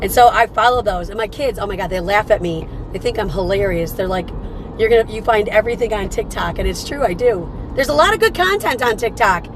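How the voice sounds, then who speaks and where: A woman hosting a casual morning livestream, chatty and upbeat, her voice slightly raspy from a cold. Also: medium pitch, animated, a little fast, noisy background. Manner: upbeat, chatty, greets viewers by name. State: fighting off a cold. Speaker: livestream host.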